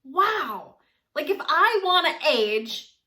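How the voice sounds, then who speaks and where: Bright, high-voiced chatty woman in her forties. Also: takes it slowly. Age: 40s. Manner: chatty, animated, laughs often. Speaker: vlogger. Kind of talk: casual talk to camera.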